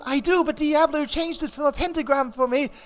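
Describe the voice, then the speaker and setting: A man's voice: extremely high pitch, a little fast, voice pushed up. A man voicing an overwrought, panicky teenage vampire boy wizard in an urgent, melodramatic way. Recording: music behind the voice.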